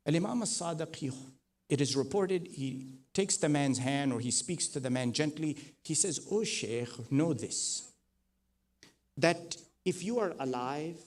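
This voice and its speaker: Guy preaching a sermon, quiet bits then loud emphatic repeats, mid-pitched voice. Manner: swells from soft to loud, rising emphatic repetition. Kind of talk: mourning sermon with narrated tradition.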